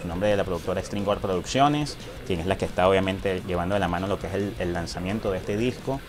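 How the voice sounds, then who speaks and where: A man's voice: low-pitched, fast talker, over music. A man hosting a casual interview, talking at length and leaning on filler words. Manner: rambling, leans on filler words. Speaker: interviewer, host.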